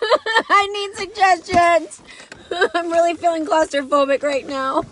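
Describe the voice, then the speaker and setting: A woman's voice: very high-pitched, fairly even intonation, measured pace, a bit bright, a little hiss or hum. A woman in her thirties vlogging from inside a truck, flustered and laughing in exasperation, recorded on a phone held at arm's length. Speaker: mother vlogging. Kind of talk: casual selfie vlog. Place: inside a parked truck, under an open umbrella.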